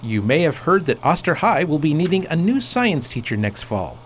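A man plays a school superintendent in a radio drama. His voice is fairly high and very varied in pitch, genial and a touch patronising, with slight noise behind. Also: very animated, normal speed. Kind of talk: radio play acting. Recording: faint background noise.

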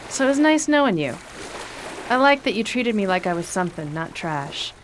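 A woman playing a rough-spoken, cheeky fellow passenger in a radio drama. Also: medium pitch, sing-song, normal speed, a little hiss or hum, rough recording. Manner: brash, slangy and cheeky.

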